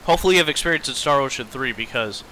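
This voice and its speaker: A man's voice: medium pitch, animated, rapid-fire, sharp tone, slight background noise, low-quality recording. A man chatting casually and in a rambling way as he streams a video game. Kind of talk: casual livestream chat over gameplay.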